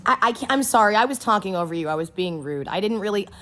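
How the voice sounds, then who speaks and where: A woman with a medium-pitched voice speaks in a casual, personal exchange. She is insistent, repeating and clarifying her point as she goes. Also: expressive, quick pace, a bit bright.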